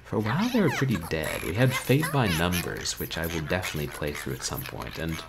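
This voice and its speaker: Guy streamer chatting to viewers, super low voice, wry and wordy, noisy bedroom recording. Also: very animated, fast talker, vocal fry. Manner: wry, self-deprecating, wordy phrasing. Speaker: livestream host, video game streamer. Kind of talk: casual livestream chat to viewers. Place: small bedroom, indoors. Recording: noisy home webcam stream.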